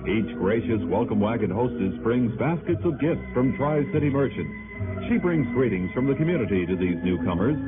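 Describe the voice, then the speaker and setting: American man reading an old radio ad, lively pitch, thin tinny sound, music under him. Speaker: radio commercial announcer. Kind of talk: 1960s scripted radio advertisement.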